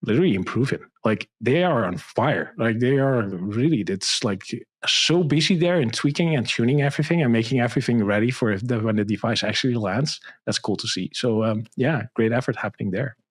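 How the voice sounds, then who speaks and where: A man with a Dutch accent, a podcast guest explaining software in casual, rambling chat with many fillers. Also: mid-range voice, a little fast, hardly any pauses, breathy edge, no echo. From Netherlands. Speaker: guest developer.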